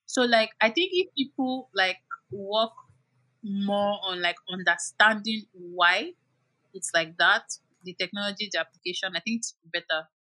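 A woman talks through ideas in a relaxed chat, leaning heavily on "like" as she thinks aloud. Her voice is bright and forward, sitting at a middle pitch. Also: unhurried, poor audio. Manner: explains with many "like" fillers. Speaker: guest. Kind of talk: casual explaining.

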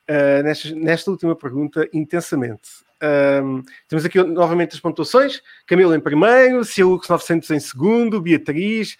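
A man with a high voice and a European Portuguese accent hosts a comedy news show. He presents casually, chatting his way through items as the show's host.

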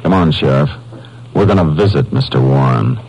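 An actor playing a calm, businesslike Texas Ranger in a 1950s radio drama, terse and matter-of-fact. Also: male voice, a bass voice, moderate pace, very noisy. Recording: old-time radio recording with music.

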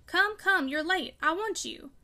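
Woman voicing a bitter, forceful old country father. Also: very high voice, unhurried, pitched above the performer's own voice, exaggerated voice. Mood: peremptory. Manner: peremptory, emphatic, dialect speech. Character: bitter, broken, fierce old father, rustic and stubborn. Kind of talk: audiobook character dialogue.

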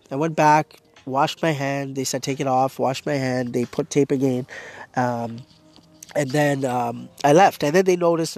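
A man hosting a podcast, talking casually and earnestly with a blocked nose and a fading voice, full of fillers. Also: medium pitch. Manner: rambling, filler-heavy, earnest. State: congested and losing his voice. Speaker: podcast host. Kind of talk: casual personal monologue.

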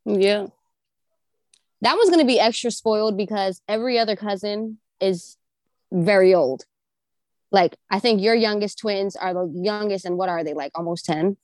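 A woman's voice: medium pitch, normal speed, a little mellow, no room sound, clean studio sound. A woman in her late twenties in a casual family chat over a video call, playful and teasing. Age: 20s. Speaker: family member.

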